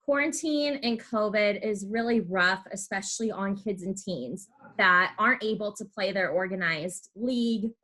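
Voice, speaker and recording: Bright-voiced young American woman hosting. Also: mid-range voice, normal speed, poor audio. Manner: chatty, encouraging, affirming. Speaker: podcast host. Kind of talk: casual interview.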